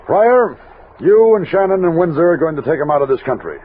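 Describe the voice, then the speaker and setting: An actor playing a steady army captain who narrates and gives firm orders in a 1960s Western radio drama. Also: male voice, a little high, a little fast. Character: steady commanding army captain leading a frontier expedition. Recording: thin lo-fi old radio recording, hiss.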